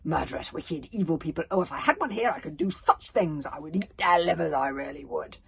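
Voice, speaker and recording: A woman reading a storybook aloud, voicing a furious, doom-filled old grandmother who rasps, spits and shouts curses. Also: low-pitched, lively intonation, fairly quick, no echo, heavily acted. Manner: loud, spitting, cursing shouts.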